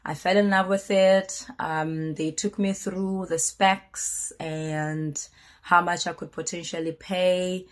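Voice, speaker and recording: A woman in her late twenties talks to her viewers in a candid, chatty vlog. Her voice is low and a bit husky from a cold. She pauses and hedges often as she tells her story. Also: steady tone. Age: late 20s. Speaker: vlogger. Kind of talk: casual personal vlog.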